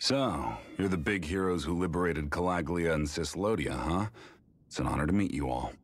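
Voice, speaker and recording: Deep, husky, wry rebel brother. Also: male voice, normal speed. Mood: composed, wistful. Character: sly, gravel-voiced man, estranged brother and rebel. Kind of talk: dramatic video game voice acting. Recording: game music under the dialogue.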